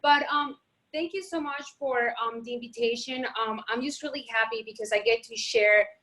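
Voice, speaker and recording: A woman's voice: fairly high pitch, moderate pace, a bit bright. A woman reporter from Colombia, raised in Miami, talking in a friendly, chatty way over a phone on a video call. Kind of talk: casual talk.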